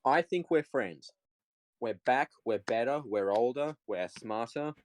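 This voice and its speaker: A man in his late teens co-hosting a podcast with jokey, deadpan, mock-boastful banter. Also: mid-range voice, measured pace. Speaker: podcast co-host. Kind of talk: casual comedic banter.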